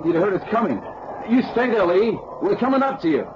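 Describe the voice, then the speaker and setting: An actor playing a concerned, eager detective's sidekick in a radio drama, earnest and reassuring. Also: male voice, high voice, big pitch swings, fast, lo-fi sound. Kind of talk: 1970s radio drama acting. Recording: noisy, band-limited old radio recording.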